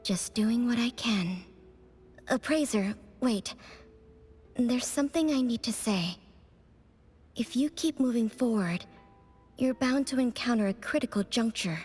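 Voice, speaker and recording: A woman playing an enigmatic fortune-telling witch in a video game, speaking in a cryptic, deliberate, oracular manner. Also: fairly high pitch, lively intonation, a little mellow, breathy edge. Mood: solemn warning. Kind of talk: scripted video game voice acting. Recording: music behind the voice.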